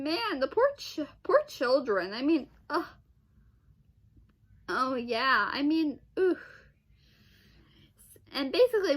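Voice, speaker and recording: A woman's voice: high voice, fairly bright tone. A young woman giving casual, off-the-cuff video commentary in a rambling, hesitant way, in broken phrases with exclamations. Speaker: video host.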